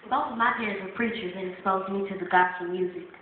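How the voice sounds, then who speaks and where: A woman's voice: medium pitch, steady tone, fast talker, slight background noise. Woman, storytelling school-play monologue, roomy echo. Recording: echoey hall, phone-quality audio.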